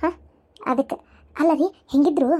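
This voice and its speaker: High-voiced, coaxing grandmother played by a woman. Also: normal speed, warm tone, lightly acted. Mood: coaxing, earnest. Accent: North Karnataka. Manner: coaxing, hesitant. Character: soft-hearted grandmother coaxing her stern husband. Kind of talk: cartoon family drama acting.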